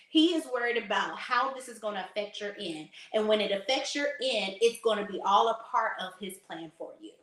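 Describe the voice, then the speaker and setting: Slightly hoarse woman faith-talk host, sassy and emphatic, high-ish voice, roomy echo. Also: a bit bright. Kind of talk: casual preaching to a live audience.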